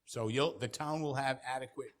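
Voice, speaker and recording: A man's voice: mid-range voice, moderate pace. A planning board member, a man asking probing, practical questions at a town meeting.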